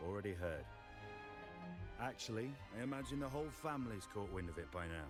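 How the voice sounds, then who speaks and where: An actor playing a jaded, dismissive family member in a video game, with a dry delivery. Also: male voice, fairly low pitch, expressive, a little fast, lightly acted. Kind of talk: scripted video game voice acting. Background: game music.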